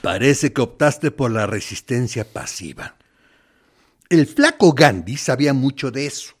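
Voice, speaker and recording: An actor playing a smug military interrogator in a radio play, speaking in a theatrical, sardonic, mock-courteous way with quiet menace. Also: male voice, medium pitch, full character voice. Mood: condescending. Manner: deliberate, sardonic, condescending, with theatrical pauses. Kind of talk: theatrical radio drama monologue.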